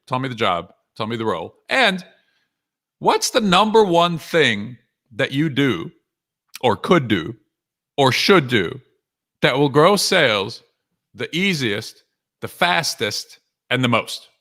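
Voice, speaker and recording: A man's voice: medium pitch, very animated, slow pace, pauses often, a bit bright. A man working as a sales coach, giving a conversational live talk to viewers in punchy short phrases with frequent rhetorical questions. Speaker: sales coach and author hosting a live webinar.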